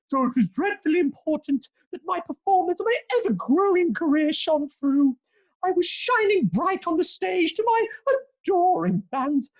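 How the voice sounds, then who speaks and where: Shrill, vain theatrical diva. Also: female voice, darkish tone, dull audio. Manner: theatrical, self-dramatising, haughty. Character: vain, melodramatic pantomime actress testifying in court. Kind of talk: comic courtroom radio play.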